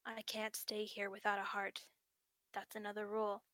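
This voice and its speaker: A woman reading the lines of a gentle middle-aged father in a bedtime story, in a soft, tender tone. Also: medium pitch, monotone, moderate pace, dry recording, studio quality, lightly acted. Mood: hushed.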